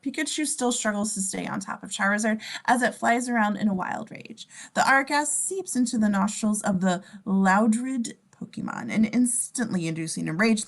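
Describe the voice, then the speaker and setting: A woman with a mid-pitched voice reads stage directions as a narrator. Her delivery is that of an amateur table read, with small stumbles and corrections. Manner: stumbles over words and self-corrects. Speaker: scene description reader.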